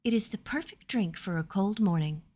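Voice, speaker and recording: A woman narrator voicing a gentle, anxious young noblewoman in a fantasy story, speaking softly and earnestly. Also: medium pitch, expressive, normal speed, pitched above the performer's own voice, lightly acted. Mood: bright, explanatory.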